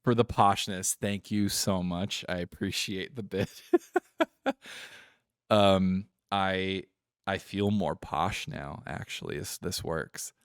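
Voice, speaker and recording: A man's voice: sits low, fairly even intonation, clear, resonant tone, dry recording, clean studio sound. A man giving casual, joking, sweary commentary while playing a visual novel on a live stream. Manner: joking, irreverent, sweary, quick to giggle.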